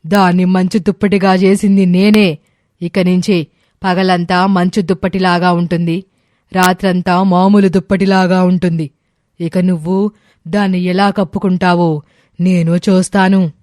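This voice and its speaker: Woman playing a stern demoness, flat and menacing. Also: fairly low pitch, measured pace, dry recording, clean studio sound, natural voice. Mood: stern, commanding. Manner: commanding, scolding. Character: stern, threatening brahma demoness punishing a lazy man. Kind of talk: animated moral story voice acting.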